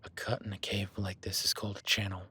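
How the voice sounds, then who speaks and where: A man voicing a rugged, protective cowboy rancher in a listener-addressed audio roleplay, talking in a low-key, calm, instructive way that often drops to a hush. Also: quick pace, husky, full character voice. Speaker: ranch hand tracking a beast.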